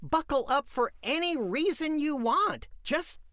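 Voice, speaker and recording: An actress playing an earnest young kid in a children's audio story. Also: female voice, sits high, animated, a little slow, fairly bright tone, no echo, studio quality.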